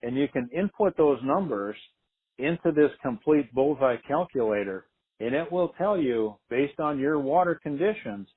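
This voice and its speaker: A man's voice: medium pitch, animated, normal speed, husky. A man presenting farm products on a conference call, explaining methodically and repeating key points. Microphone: telephone. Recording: tinny, narrow phone-line conference call.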